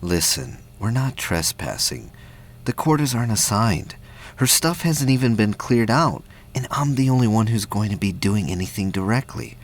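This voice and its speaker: A man playing a soft-spoken, reassuring young male crew member in a sci-fi audio play. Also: on the low side, sing-song, moderate pace, voice pushed up. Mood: reassuring, persuasive.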